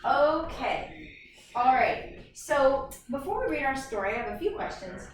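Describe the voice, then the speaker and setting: A woman's voice: sits high, lively intonation, measured pace. A woman teacher leading an interactive read-aloud for young children, asking lots of questions and prompting them. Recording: very echoey room, far mic, loud noise.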